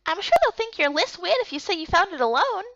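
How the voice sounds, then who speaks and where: A woman voicing a sweet, excitable young boy as a character in a visual novel. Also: very high voice, fast talker, dry recording, muffled recording, voice pushed up, exaggerated voice. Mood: eager, cheerful.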